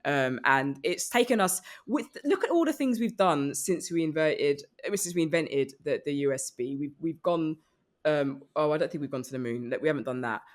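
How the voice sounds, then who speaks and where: A woman comedian chatting casually as a podcast guest, riffing in a rambling, animated comic way. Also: sits low, moderate pace. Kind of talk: casual comic panel chat.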